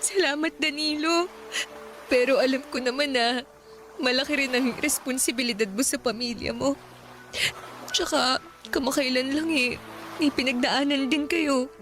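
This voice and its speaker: Radio drama role: gentle, downcast student, fairly high voice, polite and unsure. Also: female voice, moderate pace, slight background noise. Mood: grateful, hesitant. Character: worried, gentle young student daughter.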